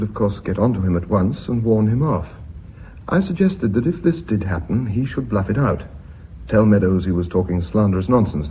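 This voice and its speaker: A deferential British bookkeeper in a radio play. He is low-pitched, formal and methodical, on a muffled old recording with clear background noise. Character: measured, deferential company secretary and bookkeeper revealing his scheme. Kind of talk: British radio drama acting.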